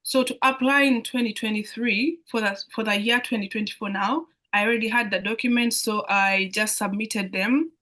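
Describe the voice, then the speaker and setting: A woman's voice: mid-range voice, steady tone, moderate pace, few pauses, pushed and bright. A young woman from Uganda with a Ugandan accent, explaining things as a student guest in a live online Q&A, often repeating phrases as she goes. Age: young adult. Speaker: international student guest speaker on a Q&A.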